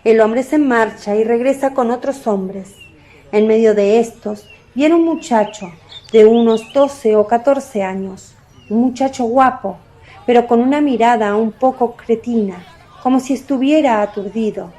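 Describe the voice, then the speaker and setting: A woman delivers scripted dramatic narration. Her voice sits fairly high yet sounds mellow and warm, and she takes her time over each phrase. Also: measured pace.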